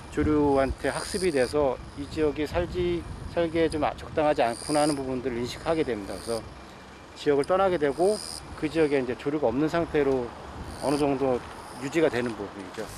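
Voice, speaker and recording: A man's voice: fairly high pitch, noisy background. A man who works in airport bird control, explaining his work plainly and practically with some hesitations. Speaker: airport wildlife control worker. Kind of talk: on-the-job interview.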